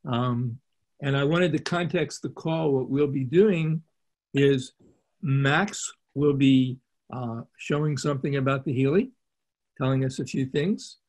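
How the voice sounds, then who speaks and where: An older man hosting a video call, talking in a halting, rambling way with many fillers, recorded through a laptop webcam in a small room. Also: medium pitch, a little mellow. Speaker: call host.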